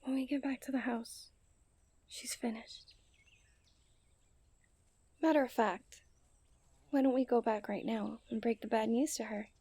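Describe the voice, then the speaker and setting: Woman acting a high, mellow, confident young princess. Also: fairly even intonation, measured pace, pauses often, breathy edge, dry recording. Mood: teasing, loving. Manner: soft, intimate delivery that often drops into whispers. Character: young princess, romantic, confident, strong-willed and scheming. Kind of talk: romantic ASMR roleplay acting.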